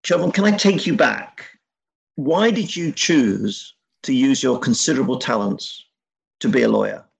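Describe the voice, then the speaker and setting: A man with a gravelly voice hosting a podcast in a formal, measured, scripted style. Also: medium pitch, lively intonation. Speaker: podcast host.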